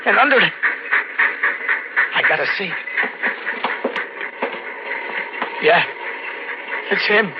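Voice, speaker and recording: Desperate drifter killer, American, slangy, melodramatic, highish swooping voice, noisy old radio recording. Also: male voice, expressive, measured pace, highs cut off. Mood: panicked. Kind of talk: 1940s radio thriller acting, first-person narration.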